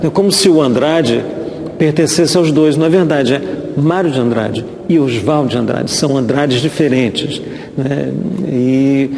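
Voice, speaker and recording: Mellow Brazilian man in a reverberant hall. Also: medium pitch, creaky. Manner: hesitant, repeating words while explaining. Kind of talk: informal expert commentary in a documentary.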